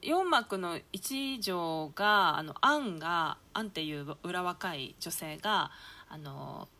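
A woman's voice: fairly low pitch, expressive, takes it slowly, pushed and bright. A woman hosting a casual group chat as a podcast presenter. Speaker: host of a theatre company's talk podcast.

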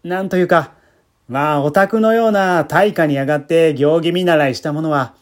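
A man's voice: a little high, normal speed, lightly acted. A man voicing a cheerful, polite policeman, a proud family man talking in a chatty, deferential, folksy way in a story reading. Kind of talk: literary reading with acted dialogue. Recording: music bed behind the voice.

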